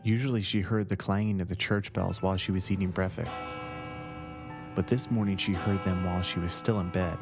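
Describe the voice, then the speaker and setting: A man narrating a scary story from a script in measured, deliberate phrases. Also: deep voice, steady tone. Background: background music.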